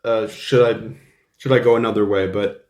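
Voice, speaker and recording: A man's voice: on the low side, steady tone, moderate pace, darkish tone, reverberant. A young man born in Finland, an athlete talking earnestly about his path as a guest in an interview. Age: young adult. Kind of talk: casual interview.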